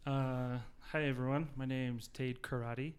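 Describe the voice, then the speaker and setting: Easygoing, clear-voiced man in his thirties. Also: mid-range voice, fairly even intonation, a little slow. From Utah. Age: 30s. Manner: easygoing, a bit hesitant. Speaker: podcast guest. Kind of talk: casual podcast chat.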